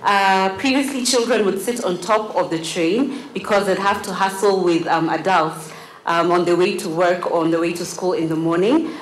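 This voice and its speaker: A woman's voice: lowish voice, fairly even intonation, moderate pace, roomy sound, a little hiss or hum, low-quality recording. An eighteen-year-old woman from Kenya whose first language is Kiswahili, giving an earnest prepared speech at a gala dinner, with frequent uh fillers. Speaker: student speaker. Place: large banquet hall at a gala dinner.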